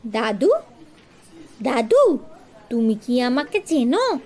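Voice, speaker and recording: An eager little boy, played by a man, speaks in a high, sweetly questioning voice. He draws his words out very slowly and innocently, with lively swings, as a friendly child character in an audio story. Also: heavily acted.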